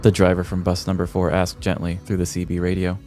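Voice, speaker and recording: A man's voice: sits low, completely flat delivery, fairly quick, darkish tone. An American man in his thirties reading scripted comedic story narration as a podcast host. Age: 30s. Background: faint music.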